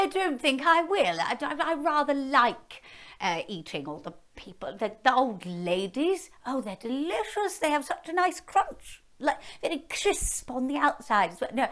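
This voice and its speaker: A woman's voice: high-pitched, fairly bright tone, pitched above the performer's own voice, full character voice. A woman voicing a huge male dragon in a told story. He is greedy, polite and chatty, and he stammers and repeats himself.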